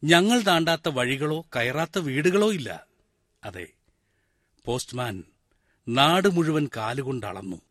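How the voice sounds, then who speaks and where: A man's voice: mid-range voice, lively intonation, a little slow, pauses often, a bit bright, no room sound. A wistful retired village postman. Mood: reminiscing. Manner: talks straight to the listeners, musing and lamenting. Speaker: actor in a one-man radio play. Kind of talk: 1990s-style radio monologue acting.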